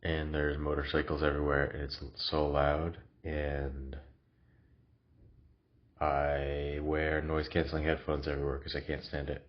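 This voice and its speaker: A man's voice: extremely low pitch, monotone, measured pace, muffled recording. A man with a cough, recording a casual, reflective vlog in his new apartment, talking hesitantly with many fillers. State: has a cough. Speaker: vlogger.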